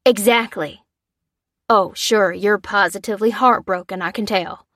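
A woman voicing a gruff, angry father in an audiobook, growling and barking with sarcastic exasperation. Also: medium pitch, normal speed, a bit bright, no room sound, studio quality, lightly acted. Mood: irate, sarcastic. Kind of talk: audiobook character dialogue.

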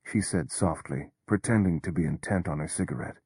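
A man narrating a story in the first person with a measured, understated delivery. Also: low voice, a little mellow, slightly rough, no echo.

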